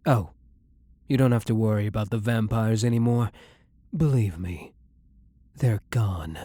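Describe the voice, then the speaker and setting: A man playing a blunt, dominant, centuries-old vampire hunter in an intimate ASMR roleplay, speaking deliberately and breathily with a commanding, interrogating manner. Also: on the low side, mellow, slightly rough, full character voice. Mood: stern interrogation.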